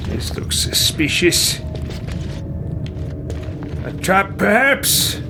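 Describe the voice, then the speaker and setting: A man's voice: high voice, animated, very slow, exaggerated voice. A man voicing a haughty, paranoid old evil wizard in a pompous, theatrical manner for video game roleplay. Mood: musing, suspicious. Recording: music behind the voice.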